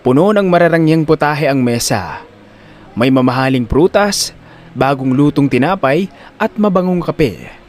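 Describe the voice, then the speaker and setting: A man's voice: a little high, a little mellow. A man narrating a scripted audio drama in a dramatic storytelling style.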